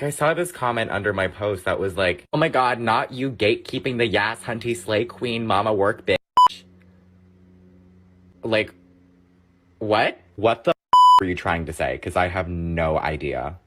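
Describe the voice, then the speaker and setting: A man's voice: low voice, fairly bright tone, a little hiss or hum. A man ranting casually in an exasperated, incredulous way. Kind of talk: casual rant.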